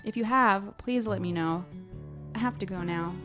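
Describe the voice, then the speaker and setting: A woman's voice: medium pitch, normal speed, music in the background, lightly acted. A woman reading a novel aloud as a fearful, grieving teenage girl, in an earnest, confessional manner. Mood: pensive, afraid.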